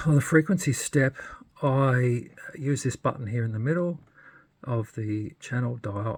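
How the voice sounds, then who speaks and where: A man with a lowish voice and a gravelly, creaky edge talks through a hands-on hobby demonstration. He sounds husky and unscripted, pausing to think aloud. His delivery is casual, hesitant and understated. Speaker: radio hobbyist presenting a modification demo.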